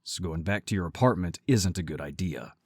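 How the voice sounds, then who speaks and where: A man playing a protective werewolf brother in an audio roleplay, gruff, blunt and exasperated but caring. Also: sits low, lively intonation, a little fast, a little mellow, a little hiss or hum. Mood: calm, explaining.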